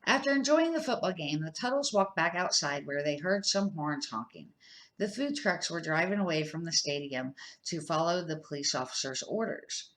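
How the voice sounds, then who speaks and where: An older woman with a somewhat low-pitched voice reads a children's storybook aloud. She sounds like a grandmother and an amateur reader, sometimes tripping on words and correcting herself. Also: expressive, moderate pace, a bit bright, poor audio.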